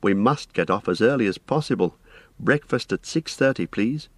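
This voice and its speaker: A man's voice: on the low side, animated, moderate pace, dry recording. A man voicing an earnest, weary, courteous hobbit in an audiobook, speaking a little hesitantly. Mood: polite, anxious. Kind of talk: audiobook character voice.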